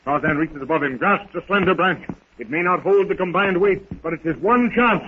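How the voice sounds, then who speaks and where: A man's voice: high-pitched, moderate pace. A man narrating an adventure serial in a dramatic, scripted 1930s radio style. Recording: noisy, tinny 1930s radio recording.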